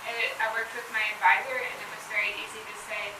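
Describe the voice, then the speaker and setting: A woman's voice: mid-range voice, flat delivery, fast. A young woman giving a casual student presentation, filling her talk with "um" and "like.". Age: 20s. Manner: casual, frequent um and like fillers. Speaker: student speaker at an education fair. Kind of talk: presentation to an audience. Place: large event hall. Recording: echoey hall from far off with noise.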